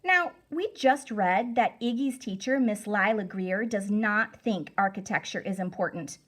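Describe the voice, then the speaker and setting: A woman reading a storybook aloud to children as a teacher, deliberate, emphatic and instructive. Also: medium pitch, animated, fairly bright tone.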